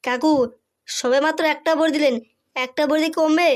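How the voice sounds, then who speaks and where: High-voiced eager cartoon boy, played by a woman. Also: fairly even intonation, brisk, natural voice. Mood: anxious, eager. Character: eager young boy fetching help for friends. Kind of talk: comic cartoon voice acting.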